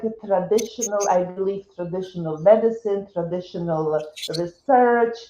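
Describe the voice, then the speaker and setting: Slow, mellow older woman with a Polish accent. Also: mid-range voice, echoey room, lo-fi sound, a little hiss or hum. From Poland. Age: 70s. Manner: hesitant, many restarts and fillers. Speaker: interview guest, wellness coach. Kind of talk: casual interview conversation.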